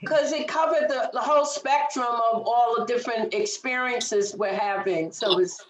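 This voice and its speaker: A woman's voice: a little high, moderate pace, low-quality recording. A woman in the audience of an online showcase, asking questions and commenting casually on a video call. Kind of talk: casual question-and-answer chat.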